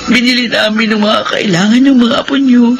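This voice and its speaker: An actress playing an emotional young adult daughter in a Filipino radio drama. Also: female voice, a little high, steady tone, brisk, music in the background, lightly acted. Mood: tearful, relieved. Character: emotional young daughter, devoted to mother, sharp with brother.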